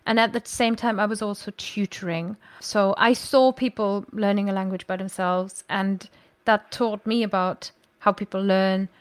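A woman's voice: mid-range voice, steady tone, moderate pace, clean tone, no room sound. A woman, chatting as a podcast guest and thinking aloud with frequent self-corrections. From Germany. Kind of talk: casual conversational storytelling.

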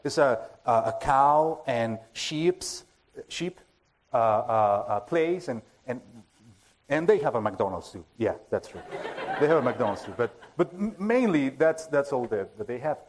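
Man, Spanish accent, preacher, casual self-joking sermon, lots of fillers, mid-pitched voice. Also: lively intonation, slight background noise. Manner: halting and self-joking, many fillers and restarts. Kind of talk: informal sermon to a congregation. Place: church hall with a full audience.

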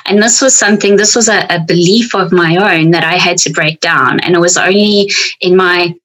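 A woman's voice: lowish voice, normal speed, fairly bright tone. A middle-aged woman with a South African accent, a coach talking frankly and reflectively in a podcast conversation. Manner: frank, reflective, speaks to herself in quoted thoughts.

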